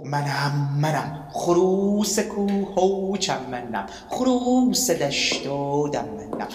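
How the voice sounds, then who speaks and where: A boastful, cheeky cartoonish rooster from a children's radio play. The chanting is theatrical and repetitive, set in an echoey space with clear background noise. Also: female voice, low voice, lively intonation, a little mellow. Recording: echoey hall with noisy clicking background.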